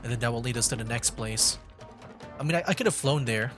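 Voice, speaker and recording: A man's voice: medium pitch, fast talker. A man giving casual, rambling gameplay commentary as a streamer, thinking aloud as he plays. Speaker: game streamer. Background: game music.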